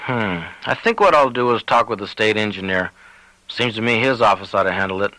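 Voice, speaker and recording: A man voicing a senior police commander in an audiobook, cautious, deliberate and official. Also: on the low side, sharp tone, dry recording, low-quality recording.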